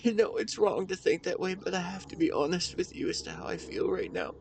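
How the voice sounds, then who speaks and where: A man playing a grieving, tender husband in an intimate audio drama monologue, speaking haltingly and earnestly to his late wife. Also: sits high, very animated, vocal fry, a little mellow, slight background noise, exaggerated voice. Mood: grieving, anguished. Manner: halting, earnest, emotional delivery with pauses. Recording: outdoor ambience with birdsong behind. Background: birdsong and nature ambience.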